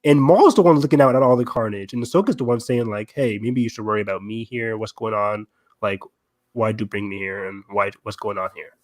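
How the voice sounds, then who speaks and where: A man's voice: fairly low pitch, fairly quick. A man co-hosting a fan podcast, talking in a casual, conversational way. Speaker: podcast co-host.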